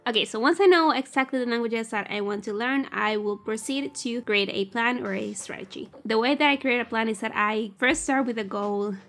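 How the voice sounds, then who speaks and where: A woman's voice: a little high, a bit bright. A woman who grew up speaking talks. She is chatty and candid, dropping in casual fillers as she explains things in a vlog. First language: Spanish. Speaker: language-learning vlogger.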